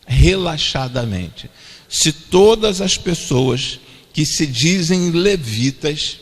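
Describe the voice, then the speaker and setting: A man's voice: fairly high pitch, animated, slow, husky. A man with a Brazilian accent preaching a verse-by-verse sermon, repeating each point twice for emphasis. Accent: Brazilian Portuguese. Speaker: pastor. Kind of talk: expository sermon. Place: church sanctuary. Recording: long church-hall reverb.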